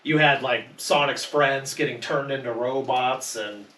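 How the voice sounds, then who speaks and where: A man's voice: medium pitch, steady tone, a little slow, fairly bright tone. A man on a podcast panel talking casually about films, enthusiastic and full of opinions. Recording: roomy echo, distant mic, faint noise.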